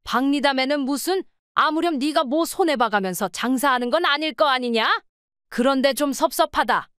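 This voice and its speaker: A woman's voice: high voice, moderate pace, a bit bright, no room sound, clean studio sound. A woman playing a greedy, nagging older mother-in-law in a radio drama, in a reproachful, demanding manner.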